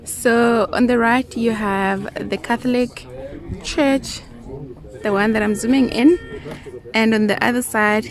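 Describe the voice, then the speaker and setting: A woman chatting casually and enthusiastically to viewers as she narrates a travel vlog. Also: on the high side. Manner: enthusiastic, admiring. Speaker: travel vlogger. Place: outdoors in a village. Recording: music behind the voice. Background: music.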